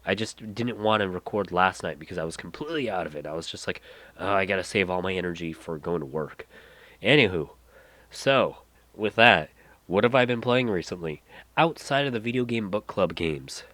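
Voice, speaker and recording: A man's voice: sits low, expressive, moderate pace, fairly bright tone, no echo. A man hosting a solo podcast, congested and nasal from a head cold, rambling, trailing off and restarting mid-sentence. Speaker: podcast host.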